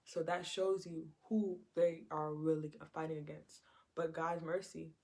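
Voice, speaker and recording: A woman's voice: low-pitched, little pitch movement, a little slow, mellow. A woman giving an informal Bible teaching in a conversational way, repeating her phrases and acting out quotes. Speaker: preacher.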